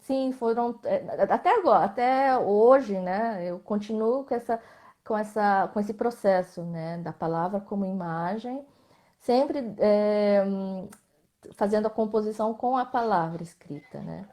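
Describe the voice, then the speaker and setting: A woman with a Brazilian Portuguese accent, a visual artist speaking as a guest in a live online interview, reflective, often tagging her sentences with questions and letting them trail off softly. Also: mid-range voice, measured pace.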